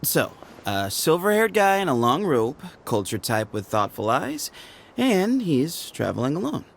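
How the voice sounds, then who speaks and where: Smug desert gang boss, gravelly, bouncy sing-song teasing. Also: male voice, a little high, sing-song, unhurried, a little hiss or hum, exaggerated voice. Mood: breezy. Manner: playful, mocking, sing-song. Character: sly, teasing man who leads a hidden desert band. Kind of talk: video game cutscene voice acting.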